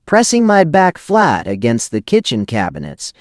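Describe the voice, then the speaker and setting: A man's voice: mid-range voice, huge pitch swings, normal speed, no room sound, clean studio sound. A man reading a first-person scripted thriller as an audio drama narrator, in tense, dramatic, clipped phrases.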